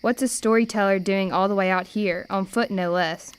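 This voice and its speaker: A clear, smooth voice for a plucky, determined girl, played by a young woman. She is plain-spoken and folksy, in the style of an amateur radio play. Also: mid-range voice, fairly even intonation, moderate pace, natural voice. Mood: polite. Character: plucky, determined red-haired girl, older sister.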